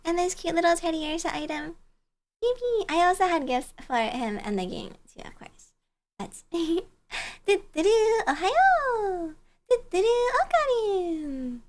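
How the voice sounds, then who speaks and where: A woman's voice: very high voice, sing-song, unhurried, slight background noise. A woman livestreamer chatting casually and greeting viewers in a bubbly, giggly, cutesy and playful manner. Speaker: livestreamer, virtual bear-girl host.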